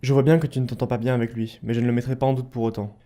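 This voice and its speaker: Man playing a mellow, earnest adventuring leader. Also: medium pitch, flat delivery, very fast, close to an everyday voice. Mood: firm, serious. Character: level-headed male group leader, loyal, firm peacemaker. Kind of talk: French audio fiction acting.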